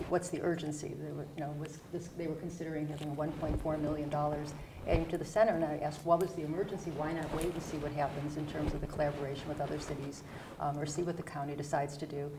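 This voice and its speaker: Quick, low-pitched American woman, distant mic. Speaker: city council candidate. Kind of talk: answering at a candidates' forum. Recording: distant mic, noisy room.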